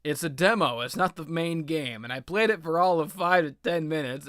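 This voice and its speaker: A man with a slightly high, bright voice hosts a rambling comedic show about video games. He is sarcastic and melodramatic, putting himself down as he goes. The sound is clean and dry. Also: clean tone, no room sound. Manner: self-deprecating, melodramatic, sarcastic, swearing. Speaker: video game commentator, series host. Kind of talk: rambling comedic game commentary.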